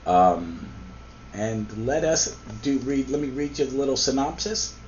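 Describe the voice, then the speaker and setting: A man hosts a relaxed comic book chat, his voice a little hoarse from a cold. He wanders through his points with plenty of ums and restarts. The recording is a bit dull and lo-fi, with a faint hiss underneath. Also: mid-range voice, measured pace. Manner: rambling, with frequent ums and restarts. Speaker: podcast host. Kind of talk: casual comic book review.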